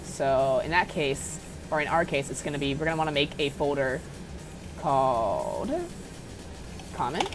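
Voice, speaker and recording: A woman giving a casual, unedited software tutorial in a rambling, self-deprecating, apologetic way. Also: deep voice, moderate pace, long pauses, music in the background. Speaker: tutorial maker.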